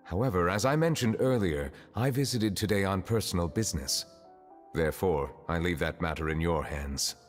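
An actor playing a solemn, courteous, ageless chief justice in a video game, with formal, deliberate, reserved delivery. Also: male voice, deep voice, lightly acted. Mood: formal, calm. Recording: long reverb, music underneath.

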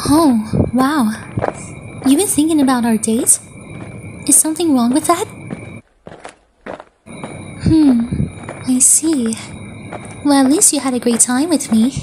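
A woman playing a sweet, affectionate young woman in a romantic ASMR roleplay, speaking gently and hesitantly with long pauses. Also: high-pitched, background noise. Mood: concerned, playful. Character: sweet, affectionate young woman confessing love to her crush.

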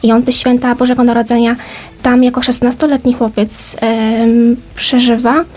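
Higher-voiced woman explaining in an interview. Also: little pitch movement, moderate pace, darkish tone. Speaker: interview guest, educator. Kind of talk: informative interview talk.

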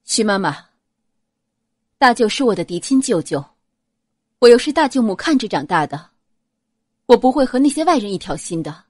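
A composed young noblewoman in a period audiobook, voiced by a woman narrator, gentle and shrewd. She persuades softly in measured phrases with lively intonation, recorded close and dry. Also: fairly high pitch, no echo. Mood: calm reassurance. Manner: soft-spoken, measured, persuasive. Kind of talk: audiobook character acting.

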